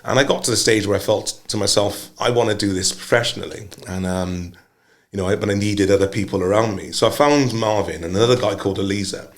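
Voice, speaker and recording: An older man from Wales, a singer telling his story casually, relaxed with many pauses. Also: on the low side. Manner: relaxed, rambling, many ums. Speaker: singer, guest.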